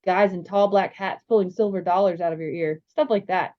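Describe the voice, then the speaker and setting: A woman voicing a chatty, excitable young American boy in a storybook, with a breezy, rambling manner. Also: on the low side, brisk, lightly acted. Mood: breezy, excited. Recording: slightly dull, lo-fi sound.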